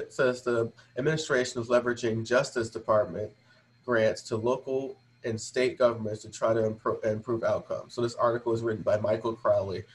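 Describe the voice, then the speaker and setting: A man hosting a show in an informal, slangy way, chatting casually with a guest and at times reading aloud from an article. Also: mid-range voice. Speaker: show host.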